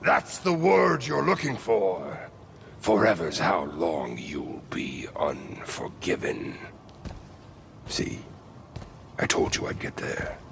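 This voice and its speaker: A man voicing a large, world-weary creature in a video game, with a deep, gravelly, breathy voice and a folksy, sardonic manner. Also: sing-song, slow pace, noisy background, heavily acted.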